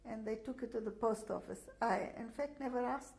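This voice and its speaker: An older woman, a native Russian speaker, giving an oral history testimony, pausing often with fillers and restarts. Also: a little high, creaky, a little mellow. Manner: hesitant, many fillers and restarts. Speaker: interviewee.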